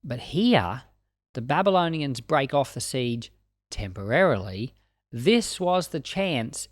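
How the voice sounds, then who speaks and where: Animated man giving informal Bible teaching. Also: medium pitch, no room sound. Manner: colloquial, conversational, with dramatized asides.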